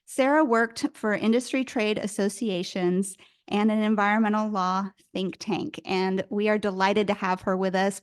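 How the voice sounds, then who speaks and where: Smooth-voiced woman introducing a speaker. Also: mid-range voice. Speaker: event host introducing a speaker. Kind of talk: introduction speech at a symposium.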